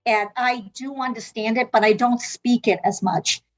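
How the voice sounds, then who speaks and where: A woman's voice: fairly high pitch, fairly even intonation, normal speed, dull audio. A middle-aged woman from South Africa whose first language is Portuguese, earnestly telling her story in an interview with many fillers and restarts. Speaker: interviewee, medical interpreting leader. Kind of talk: reflective personal storytelling.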